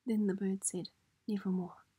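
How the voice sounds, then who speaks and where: Woman, very breathy whisper, mellow tone, for a measured, dramatic ASMR poetry reading. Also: medium pitch, fairly even intonation, no room sound. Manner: hushed, measured, dramatic recitation. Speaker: poetry reader. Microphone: close microphone.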